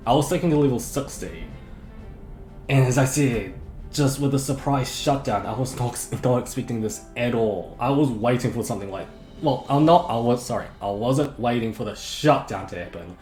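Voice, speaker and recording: A man's voice: medium pitch, normal speed, roomy sound, soft background music. A man chatting casually on a live game stream, rambling and correcting himself, with a sore throat and a droning voice. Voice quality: monotonous, droning.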